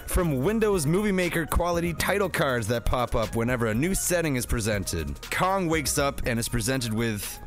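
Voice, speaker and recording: A man hosts a comedy film review with a medium-pitched voice. His recap is scripted but slangy and sarcastic, with swearing and banter, over faint music. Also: very animated, moderate pace, few pauses. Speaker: host of a comedy movie review show.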